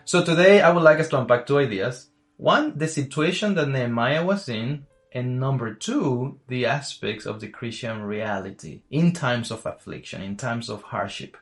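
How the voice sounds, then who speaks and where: A man hosting a faith podcast. He chats easily, asks his guest open questions and slows down to read scripture. Faint music sits under his voice. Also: mid-range voice. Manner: warm welcoming, asks questions, reads scripture slowly. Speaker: podcast host. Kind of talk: faith podcast conversation and Bible reading.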